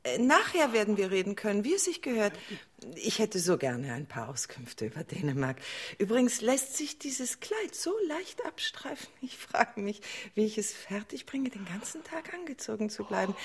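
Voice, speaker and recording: High, swooping, coquettish queen. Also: heavily acted. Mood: flirtatious, feigned innocence. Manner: coy, breathy asides, mock-innocent chatter. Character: coquettish, chattering, seductive queen in a palace. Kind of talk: German radio play acting, intimate monologue.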